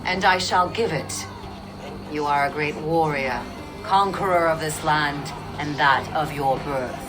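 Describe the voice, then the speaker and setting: A grave, defiant witch speaks low and solemn, as if every line were a prophecy. It is video game drama with clear music underneath. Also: a little slow, poor audio. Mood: solemn, ominous. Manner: solemn, foreboding, portentous. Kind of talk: video game cutscene acting.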